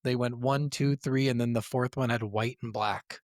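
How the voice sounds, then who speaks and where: A man's voice: medium pitch, steady tone, normal speed, dry recording, studio quality. A man co-hosting a podcast, talking casually and enthusiastically, with slangy speech and frequent swearing. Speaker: podcast co-host. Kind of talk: casual gaming podcast chat.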